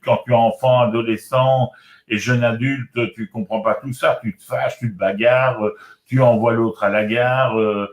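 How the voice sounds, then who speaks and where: Older male author guest, chatty and circling, roomy recording. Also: mid-range voice. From Congo. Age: 60s. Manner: rambling, repeats words and restarts often. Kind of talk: casual spiritual conversation. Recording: roomy echo.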